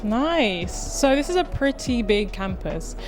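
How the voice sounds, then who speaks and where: A woman interviewing a student, asking quick questions with brief, friendly replies like "nice". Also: on the high side, very animated, over music.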